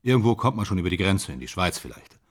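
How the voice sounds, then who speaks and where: Tired fatalistic stage actor, radio drama, kinda low but swingy voice, clipped musing. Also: male voice, very animated, quick pace. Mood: wry, restless. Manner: clipped, ruminative storytelling. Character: weary, wryly fatalistic male stage actor. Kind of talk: German radio drama dialogue.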